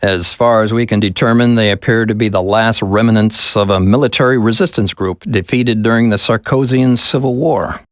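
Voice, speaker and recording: A man's voice: lowish voice, normal speed, hardly any pauses, dry recording, studio quality, natural voice. A man playing an earnest, deferential government aide in a video game, polite and formal. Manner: polite, formal, reporting to a superior.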